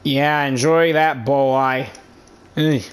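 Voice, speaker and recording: A man's voice: mid-range voice, steady tone, slow pace, clear, resonant tone. A man giving casual, playful let's play commentary, reacting to game dialogue. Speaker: gamer showing a story quest. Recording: commentary over faint game music.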